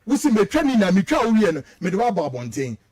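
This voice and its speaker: A Ghanaian man with a very high voice argues like a fired-up political pundit. His pitch leaps around in animated swings as he switches between Twi and English. He stresses key phrases by saying them again. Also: fast talker, over a noisy crowd. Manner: emphatic, rhetorical, repeats phrases for stress. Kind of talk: heated political commentary, mixing Twi and English.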